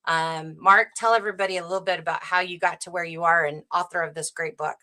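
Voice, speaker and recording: A woman's voice: fairly low pitch, steady tone, fast talker, bright, forceful tone. A middle-aged woman hosting a live interview show, eager but hesitant, with many fillers. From United States. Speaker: host.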